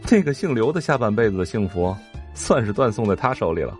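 A man's voice: on the low side, very animated, quick pace, faint music behind. An actor playing an eager, gossipy young rich man, a loyal sidekick, in a Chinese audio drama. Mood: gleeful, gossipy.

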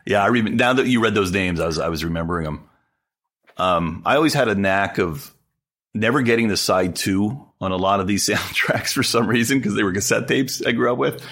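A man's voice: on the low side, brisk, fairly bright tone. A man in his forties co-hosting a podcast, chatting casually and joking around. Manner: joking, slips into a mock radio announcer voice. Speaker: podcast co-host. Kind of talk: casual chat.

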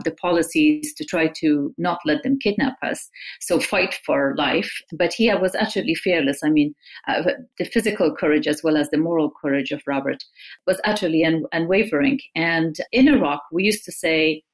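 A woman from Afghanistan, a journalist guest, giving earnest, measured answers in an interview. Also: sits low, rough recording.

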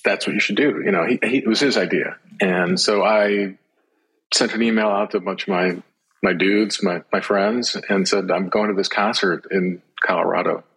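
A middle-aged man as an interview guest, telling personal reflections in a thoughtful, halting way. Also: low-pitched, fairly even intonation, a bit bright, slightly rough, low-quality recording.

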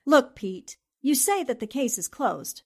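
A high female voice from an actress plays a principled newspaperwoman in a forties radio drama. She is articulate and courteous, with a firm, assertive way of pressing her questions. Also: sing-song, measured pace, darkish tone, full character voice. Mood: resolute, challenging. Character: determined, principled newspaperwoman investigating a murder case. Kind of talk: 1940s radio drama acting.

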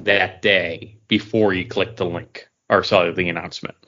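Low-voiced man hosting a casual podcast. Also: fairly even intonation, normal speed, bright, forceful tone. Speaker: podcast host. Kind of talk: casual chat among friends. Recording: dull, lo-fi audio.

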